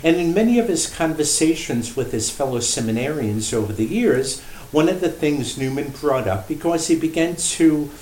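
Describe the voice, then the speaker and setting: Roomy-sounding man, measured priestly storyteller. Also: a little high, expressive, a little mellow. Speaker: priest and shrine director interviewed as guest. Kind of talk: unscripted storytelling in a radio interview. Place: indoor room at a shrine. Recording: roomy echo, faint background noise.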